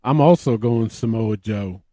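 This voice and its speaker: Slow-paced, dry-sounding male podcast host. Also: fairly low pitch, mellow, no echo. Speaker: podcast host running a game. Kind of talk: casual banter.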